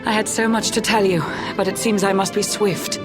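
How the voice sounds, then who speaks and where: Woman voicing a ethereal female spirit, solemn, rhyming, mid-pitched, loud score behind. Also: fairly even intonation, moderate pace, lightly acted. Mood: urgent. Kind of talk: game voice acting. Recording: loud music underneath.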